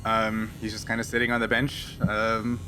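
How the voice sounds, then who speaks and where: A man working as game master, narrating a tabletop roleplaying game in an informal, halting way. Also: on the low side, monotone, sharp tone, slight background noise, poor audio.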